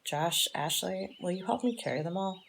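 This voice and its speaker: A sensible, protective teenage girl played by a woman in an audio drama. Her voice is low with a creaky edge, measured and frank, over faint background noise. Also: animated. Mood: matter-of-fact.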